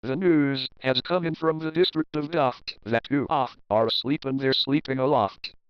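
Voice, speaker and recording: A man's voice: mid-range voice, normal speed, clear, resonant tone, no room sound, lo-fi sound. A man narrating a children's picture book as a readalong, reading rhyming verse expressively and rhythmically. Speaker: storybook narrator.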